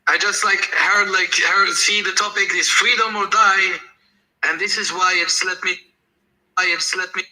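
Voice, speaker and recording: A man's voice: high-pitched, steady tone, moderate pace, pushed and bright, poor audio. A man from Algeria, speaking insistently and repetitively as a caller in an online discussion. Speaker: audience caller. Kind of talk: impassioned speech in an online audio discussion.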